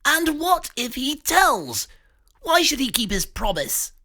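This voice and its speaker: A man voicing a nervous, genteel talking pig who speaks like a posh British gentleman, fussy and jittery. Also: very high-pitched, wildly swooping pitch, slow, voice pushed up, exaggerated voice. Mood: worried.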